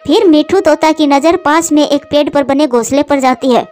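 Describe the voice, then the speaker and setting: Lady narrator for a kids bird story, high voice, soft music behind. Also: moderate pace. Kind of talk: scripted children's story narration.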